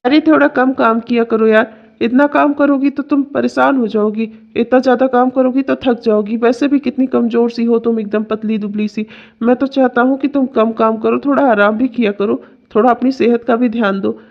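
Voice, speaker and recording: A woman's voice: a little high, fairly even intonation, moderate pace, vocal fry. A woman voicing a domineering, complaining young husband in a melodramatic family story. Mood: coaxing. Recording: dull, muffled lo-fi sound.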